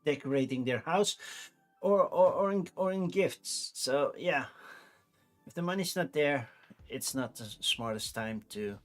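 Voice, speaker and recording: A man's voice: on the high side, expressive, a little slow, faint music behind. A Dutch man in his forties with a Dutch accent, chatting casually and rambling on a livestream, with frequent restarts. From Netherlands. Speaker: streamer.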